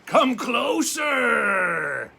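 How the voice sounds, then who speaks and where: A man voicing the dark as a mysterious being in a storybook, calling out loudly with slow, stretched words. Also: very high-pitched, big pitch swings, vocal fry, pitched above the performer's own voice, full character voice. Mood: booming, beckoning. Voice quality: loud, drawn-out calling voice.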